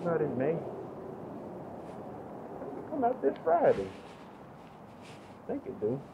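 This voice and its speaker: A man vlogging casually from his motorcycle, thinking aloud in a relaxed, slow way. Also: fairly high pitch, gravelly. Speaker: motovlogger. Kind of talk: casual vlog commentary while riding. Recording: muffled with loud road and engine noise.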